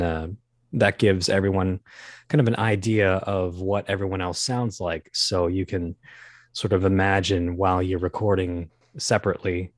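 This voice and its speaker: Deep-voiced man, halting and thoughtful. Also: no echo, studio quality. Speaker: audio drama creator and guest. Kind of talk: casual interview talk.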